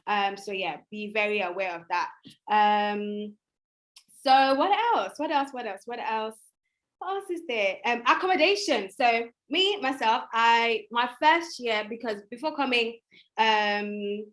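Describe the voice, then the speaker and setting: A woman's voice: mid-range voice, fairly bright tone. A young woman hosting a student livestream, talking casually and informally as she shares advice. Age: young adult. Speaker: student host of a live online event. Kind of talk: casual, chatty livestream talk.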